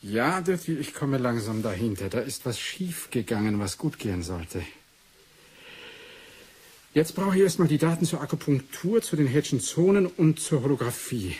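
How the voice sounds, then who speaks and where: An actor playing a shrewd, methodical investigator with a doctorate, musing conversationally in a 1980s German radio drama. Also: male voice, medium pitch, very animated, normal speed, darkish tone, slightly rough. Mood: thoughtful, brisk.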